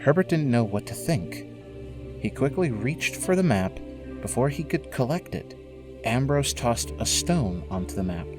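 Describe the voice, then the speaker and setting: A man narrating a scripted dramatic story in a measured, solemn way, with music behind him. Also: mid-range voice, rough recording. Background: prominent music.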